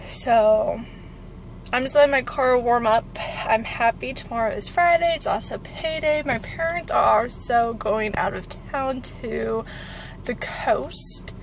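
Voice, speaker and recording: A woman's voice: a little high, measured pace, rough recording. A woman, chatting in an informal, rambling way for a car vlog, recorded on a phone inside a car. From California, United States. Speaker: vlogger. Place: inside a parked car with engine warming up. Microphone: phone at arm's length. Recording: car interior with clear background noise.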